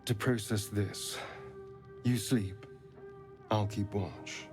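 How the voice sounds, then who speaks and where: A man's voice: lowish voice, steady tone, takes it slowly, a little mellow, soft background music. A man voicing a wry, urbane and uneasy adventurer in a video game, with dry, refined, languid phrasing. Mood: weary, guarded. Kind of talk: video game character acting.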